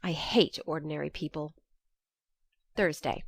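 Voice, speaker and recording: A woman's voice: low-pitched, a little slow, creaky, dry recording, clean studio sound, exaggerated voice. A woman reading a classic novel in the first person as a candid, wry young letter writer. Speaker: audiobook narrator.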